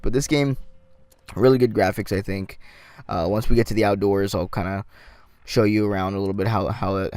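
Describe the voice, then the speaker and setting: A man with a slightly raspy, sore-throated voice talks casually over a game, rambling and restarting his sentences. His voice sits on the low side. The recording is close and dry. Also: a little mellow, no echo. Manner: rambling, full of ums and restarts. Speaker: gameplay commentator. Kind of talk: casual gameplay commentary.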